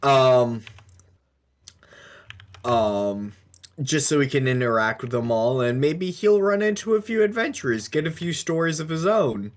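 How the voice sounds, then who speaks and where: Slow, halting man in casual talk. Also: mid-range voice, expressive. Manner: halting, searching for words. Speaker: podcast co-host. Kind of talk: casual discussion of tabletop roleplaying.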